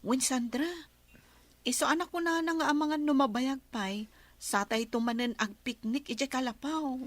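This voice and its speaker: Woman voicing a cheerful village daughter, high voice, laughs easily. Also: moderate pace, dry recording, lightly acted. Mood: gossiping.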